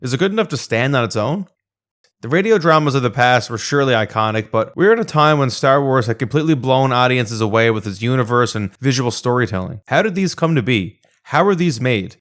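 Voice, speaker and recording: A man's voice: medium pitch, lively intonation, a little fast, a bit bright. A man narrating a scripted video essay.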